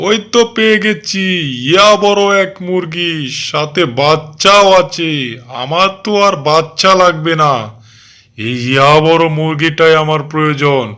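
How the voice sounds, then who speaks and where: A man's voice: on the high side, bright, forceful tone, clear, resonant tone. A man voicing a boastful, sly father fox who dotes on his son in a cartoon. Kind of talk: animated storybook cartoon dialogue.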